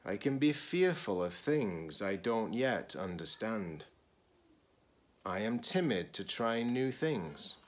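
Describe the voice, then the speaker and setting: A middle-aged man, a teacher-librarian, reading a children's picture book aloud deliberately, in short phrases with pauses. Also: fairly low pitch, sing-song, a little hiss or hum.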